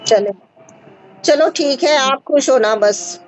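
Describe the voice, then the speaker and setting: Woman, high voice, reassuring host, video call from home, lo-fi sound. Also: takes it slowly. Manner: reassuring, repeats short checks like "है ना?". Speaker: host advising a caller on a skin remedy. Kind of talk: live video call, casual advice. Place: indoor home room with curtains. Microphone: phone front camera on a video call.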